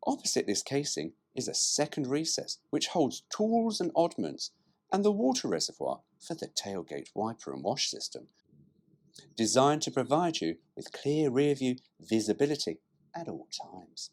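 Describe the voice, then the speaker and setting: A man presents in a mid-range voice with a husky edge. His intonation leaps about constantly as he moves between reading copy aloud and making irreverent asides. His manner is cheeky and informal, with some swearing. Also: medium pitch, wildly swooping pitch, normal speed. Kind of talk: reading a car brochure aloud with joking asides.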